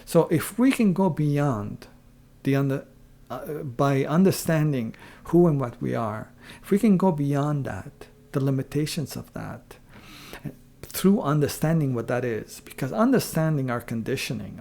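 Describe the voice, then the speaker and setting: Male online creator, mid-pitched, gravelly voice; reflective solo talk, hesitant and searching delivery. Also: mellow. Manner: halting, searching, with frequent pauses and throat-clearing. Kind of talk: unscripted reflective philosophical monologue.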